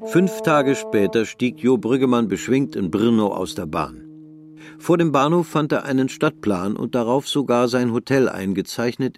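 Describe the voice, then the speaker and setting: A man reading the narration of a radio play in a calm, measured way. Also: fairly low pitch. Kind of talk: scripted narration.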